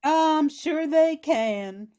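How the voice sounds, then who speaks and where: A woman's voice: sits high, animated, drawn-out words, dark tone, heavily acted. A woman playing a worried, loving mother in an amateur radio drama. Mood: grateful.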